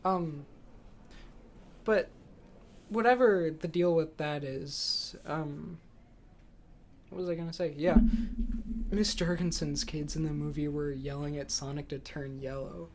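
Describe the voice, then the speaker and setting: A man's voice: on the high side, steady tone, measured pace, long pauses. A young man with an American accent talks informally as a podcast co-host. His delivery is casual and conversational, and there is faint ambient noise in the recording. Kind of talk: casual chat.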